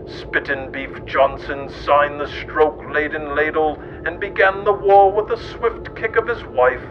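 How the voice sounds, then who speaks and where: A man reading absurd, scripted mock-history narration. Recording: muffled lo-fi audio with loud rumbling noise.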